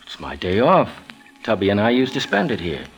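An actor playing a guarded, self-assured widower under suspicion, evasive and coolly controlled, in an old radio crime drama. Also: male voice, fairly low pitch, huge pitch swings, a little fast, faint music behind. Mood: controlled, defensive. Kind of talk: 1940s-50s radio crime drama acting.

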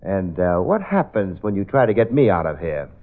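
A man's voice: deep voice, very animated, normal speed. A man playing a breezy, wisecracking detective in a 1940s radio drama, both in scenes and as narrator, on a lo-fi old radio recording with music.

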